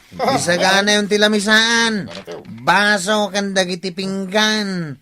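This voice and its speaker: A man's voice: sits high, fairly even intonation, slow, pushed and bright, soft background music, heavily acted. A man with an Ilocano accent playing a drunken young watchman in a radio comedy drama, slurring and dragging his words. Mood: rowdy, jolly. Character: drunken young nephew, carefree village watchman and drinker.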